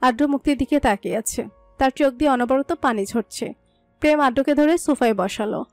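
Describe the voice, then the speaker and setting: A woman narrates a scripted love story in a high-pitched voice. The recording is dry, with soft music underneath. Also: a little fast, no echo.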